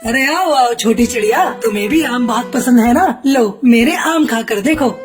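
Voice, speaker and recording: Woman as cunning male crow peddler, high voice, coaxing, scheming, children's cartoon, faint music. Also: animated, moderate pace, natural voice. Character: sly magician disguised as a male mango-selling crow. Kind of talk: Hindi cartoon story voice acting.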